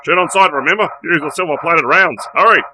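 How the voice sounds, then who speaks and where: A man's voice: fairly high pitch, a little fast, pushed and bright. A man playing an alarmed, trigger-happy policeman in a radio play, speaking urgently and barking out his words.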